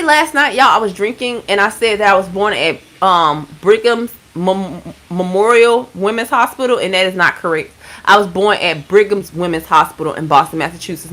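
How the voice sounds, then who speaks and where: A woman in her thirties from Georgia, hosting a casual livestream and chatting with viewers. She is laid-back, swears freely and keeps giving shout-outs. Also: medium pitch. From Georgia, USA. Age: 30s. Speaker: livestream host.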